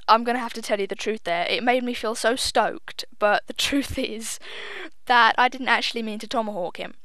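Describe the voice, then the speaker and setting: A woman's voice: fairly high pitch, fairly bright tone, no room sound. A young woman giving casual, chatty gameplay commentary. She is self-deprecating, swears offhandedly and admits she sounds a little nervous. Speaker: gaming video host.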